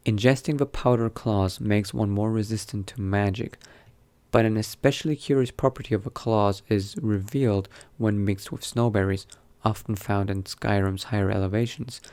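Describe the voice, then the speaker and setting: A man doing an in-character game roleplay, reading aloud in a measured, bookish way and muttering asides to himself. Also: on the low side, fairly even intonation, darkish tone. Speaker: roleplay gamer narrating in character.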